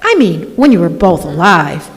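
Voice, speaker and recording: A woman's voice: on the low side, sing-song, moderate pace, faint music behind. A woman playing a bold, curious, romantic teenage girl in an old time radio stage drama, with an eager, inquisitive manner. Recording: echoey hall, live stage performance.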